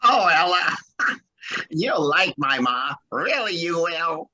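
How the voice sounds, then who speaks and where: An actor playing an eager young husband in an old-time radio drama, bubbly and stammering. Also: male voice, a little high, big pitch swings, takes it slowly, bright, forceful tone, no room sound, muffled recording, exaggerated voice. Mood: cheerful.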